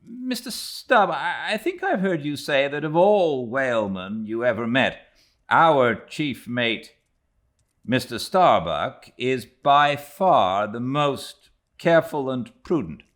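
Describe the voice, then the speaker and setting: A man's voice: mid-range voice, lively intonation, takes it slowly, a bit bright, pitched above the performer's own voice. Guy doing an earnest sailor in an audiobook, raised voice, swoopy, wry and formal, cant believe it. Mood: incredulous, wry. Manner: emphatic, wryly formal, theatrical. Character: earnest, incredulous young sailor questioning his shipmates.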